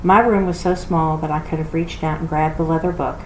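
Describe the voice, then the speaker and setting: A woman giving an expressive storybook read-aloud, like a children's library reader. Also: deep voice, brisk. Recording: roomy echo with clear background noise.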